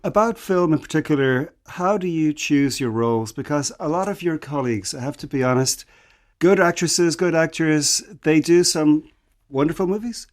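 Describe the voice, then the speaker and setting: A male radio host asking a guest curious, relaxed questions in an interview. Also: fairly high pitch, moderate pace.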